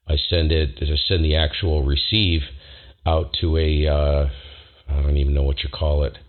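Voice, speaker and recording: A man's voice: extremely low pitch, narrow, tinny audio. A man who operates amateur radio, talking technical shop in a casual, enthusiastic way. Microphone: radio station microphone. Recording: band-limited ham radio transmission.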